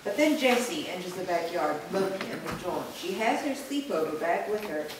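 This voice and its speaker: A woman's voice: fairly low pitch, lively intonation, moderate pace. A woman reading stage directions as scripted narration at a staged reading. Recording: very echoey room, distant mic, noisy.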